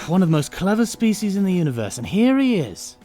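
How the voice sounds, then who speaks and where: A man's voice: sits high, dark tone. A man playing the Doctor, a witty, humane alien adventurer who talks in wry, flustered repetitions in an audio drama. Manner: flustered, repeats words, wry.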